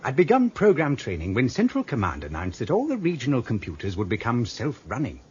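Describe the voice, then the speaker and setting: Querulous old British gent, radio play, very animated intonation, pedantic, dull hissy recording. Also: male voice, mid-range voice, a little fast. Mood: querulous, vague. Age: older. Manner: querulous, rambling, pedantic explaining. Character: fussy, grumbling old man, former trainee computer operator. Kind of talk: radio drama acting.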